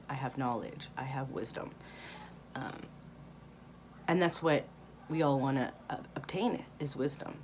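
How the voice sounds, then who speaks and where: A woman in her late thirties talking candidly in a rambling spiritual vlog monologue, with many pauses and fillers and some swearing. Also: extremely low pitch, a little hiss or hum. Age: 30s. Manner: rambling, candid, frequent ums and profanity. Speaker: spiritual vlogger.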